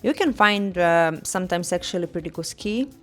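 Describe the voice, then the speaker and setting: A woman's voice: lowish voice, lively intonation, moderate pace. A young woman speaking English as a non-native speaker, narrating a vlog voice-over of a store walkthrough with small grammar slips and fillers. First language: not English; Swedish is her third language. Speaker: vlogger. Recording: voice-over with faint music behind.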